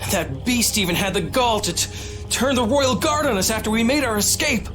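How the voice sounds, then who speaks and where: A man's voice: extremely high pitch, expressive, normal speed, fairly bright tone, soft background music. A man playing a haughty, indignant aristocratic attendant in a video game, with a stiff, pompous manner. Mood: indignant, defensive. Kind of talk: English video game dub.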